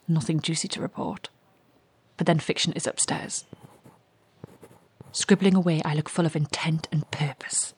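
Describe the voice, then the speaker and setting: An actress playing a restless, curious young woman in an audio drama monologue, confiding and wry, with a hushed, husky voice. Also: sits low, steady tone, a little slow, pauses often, exaggerated voice.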